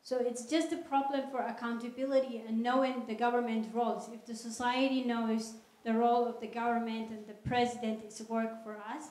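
A woman answering audience questions at a lecture in an earnest, measured way, speaking into a handheld microphone in a large hall. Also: on the high side, flat delivery, darkish tone. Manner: earnest, measured, non-native phrasing. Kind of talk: public Q&A talk. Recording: echoey hall.

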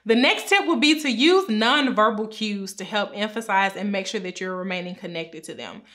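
A woman in her thirties with a bright, crisp voice talks straight to the camera from a small room at home. She sounds upbeat and instructive, explaining tips clearly and gesturing as she goes. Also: mid-range voice, normal speed. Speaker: pharmacist giving career advice. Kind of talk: direct-to-camera advice talk. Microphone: camera framed at chest height.

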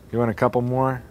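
A man's voice: mid-range voice, a little hiss or hum, low-quality recording. Man reading family stories aloud. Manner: reads plainly, breaks off to chuckle and comment. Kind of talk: casual reading aloud to relatives. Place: hotel atrium at a family reunion.

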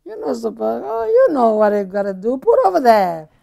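An older woman with an Italian accent, a native Italian speaker, chatting casually about cooking in halting, simple broken English. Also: a little high, very animated, gravelly, dark tone. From Puglia, Italy. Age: older, grandmother. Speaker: grandmother cook on a cooking show. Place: home-style kitchen, indoors.

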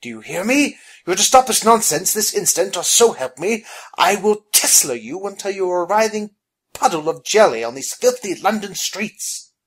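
A narrator voicing a bossy, easily flustered gentleman adventurer in a storybook, pompous and blustering. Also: medium pitch, very animated, a little slow, breathy edge, voice pushed up, exaggerated voice. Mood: commanding, angry.

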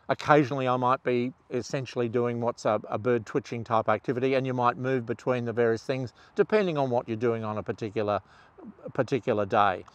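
An older man speaks outdoors on a clip-on mic, relaxed and chatty, with plenty of ums. His voice sits in a middle pitch, and the sound is close and dry with no room around it. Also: dry recording. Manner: relaxed, conversational, with fillers and restarts. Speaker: ornithologist and presenter. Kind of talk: explainer talk to camera. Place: outdoors among trees. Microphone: lapel mic.

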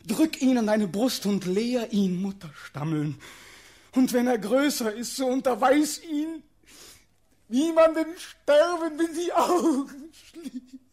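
A young man acting a desperate condemned prince pleading for his life in a classical verse radio drama, impassioned and declamatory, swinging from hush to outcry. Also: sits high, expressive, a little slow, hardly any pauses. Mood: sorrowful, tender.

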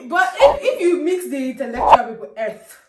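A woman's voice: high-pitched, very animated, moderate pace. A young woman with a Nigerian accent, playfully mock-arguing and laughing with friends. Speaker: guest. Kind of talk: casual chat with friends. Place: indoor living room. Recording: roomy sound from a distance with background hiss.

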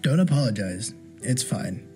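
A woman voicing a calm, dry teenage boy in a fan comic dub, talking in a flat, reassuring way. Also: very deep voice, a little slow, soft background music.

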